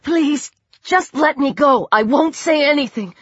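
A woman's voice: sits high, unhurried, fairly bright tone, dry recording, muffled recording, pitched above the performer's own voice. A woman voicing a frightened but defiant young girl in an audiobook, stammering and pleading breathlessly.

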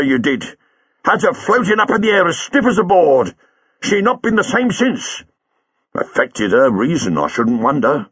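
A man's voice: high voice, a bit bright, slightly rough, no echo, dull audio, pitched above the performer's own voice, exaggerated voice. A man voicing a raised-voice cabbie, angry. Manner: blunt, furious, dropped grammar. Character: angry working-class male cab driver.

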